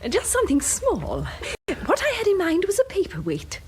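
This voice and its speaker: An actress with a British accent playing a chirpy, doting housewife in a radio drama, fluttery and coaxing. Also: female voice, very high-pitched, wildly swooping pitch, moderate pace, slight background noise, heavily acted. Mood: eager. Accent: British English.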